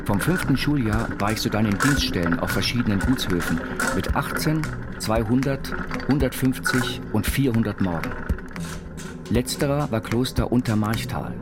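An actor as low-voiced eccentric inventor over loud music. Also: male voice, animated, close to an everyday voice. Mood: grave, plain recollection. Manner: measured, quaint formal phrasing. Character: eccentric self-taught inventor recounting his institutionalized life. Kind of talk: scripted autobiographical narration in an audio biopic.

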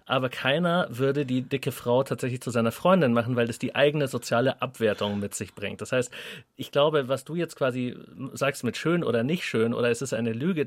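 A man's voice: mid-range voice, dry recording, studio quality. A man in his late thirties co-hosting a discussion, making long reasoned arguments. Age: 30s. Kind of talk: discussion talk.

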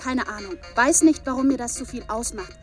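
A woman's voice: a little high, brisk, a little mellow, soft background music, lightly acted. An actress playing a tender, earnest, gushing female confidante in a radio drama. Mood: earnest, sad.

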